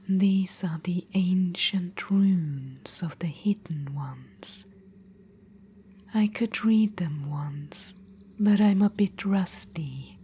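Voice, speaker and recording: A woman voicing an ancient, proud witch-queen in an ASMR fantasy roleplay, whispering softly into a close mic and calling the listener darling. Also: lowish voice, a little hiss or hum. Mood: guiding, wondering. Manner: soft unvoiced whisper throughout.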